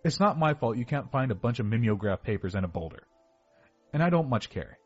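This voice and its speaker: Guy acting as a big obsessive young killer confessing to cops, husky n defensive, horror audio drama, muffled w music behind. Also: medium pitch, big pitch swings, a little fast, a little mellow. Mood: defensive, wry. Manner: confessional, defensive, self-justifying. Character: heavyset obsessive young male killer confessing to detectives, self-justifying. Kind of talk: first-person horror audio drama monologue. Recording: dull lo-fi sound with music behind.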